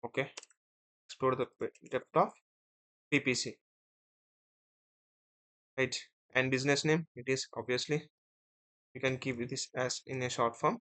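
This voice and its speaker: A man teaching as an instructor in a screen-share software tutorial, explaining step by step and often checking in with short tag words. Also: medium pitch, steady tone, measured pace, pauses often. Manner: step-by-step, often ending phrases with "right?" and "okay".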